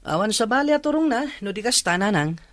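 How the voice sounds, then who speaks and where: A woman's voice: mid-range voice, lively intonation, quick pace, dry recording, clean studio sound, close to an everyday voice. A woman with a Filipino accent acting a defiant grown child answering back in an audio drama. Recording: music under the voice.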